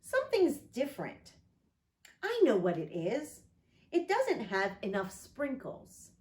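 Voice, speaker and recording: An earnest little storybook girl, acted out by a woman reader, eager but quick to lose heart. The pitch jumps around in an animated way, and the room adds noticeable echo. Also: exaggerated voice. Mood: puzzled. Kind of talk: children's picture book read aloud.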